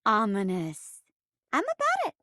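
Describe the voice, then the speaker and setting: A woman's voice: on the high side, huge pitch swings, a little slow, pushed and bright, dry recording, clean studio sound, exaggerated voice. A woman voicing a bubbly, giggly girlish video game character with a perky, casual way of talking. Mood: amused, enthusiastic. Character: bubbly, giggly girlish chair character who loves playacting.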